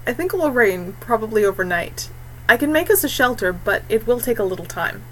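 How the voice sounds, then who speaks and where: A woman's voice: on the high side, lively intonation, fairly quick, a little hiss or hum, lightly acted, rough recording. Woman reading as patient young forest guide woman. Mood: patient, practical. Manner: quiet, patient, assured.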